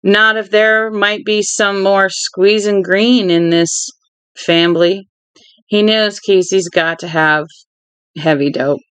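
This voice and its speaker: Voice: mid-range voice, fairly even intonation, slow, a bit bright, clear, resonant tone, dry recording. A reader voicing a cocky, crude young older brother from a novel, slangy, blunt and teasing. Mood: cocky, scheming.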